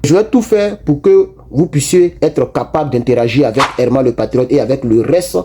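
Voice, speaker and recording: A man giving casual political commentary to his online viewers, animated and repetitive, with frequent restarts. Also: mid-range voice, normal speed, background noise. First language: not French.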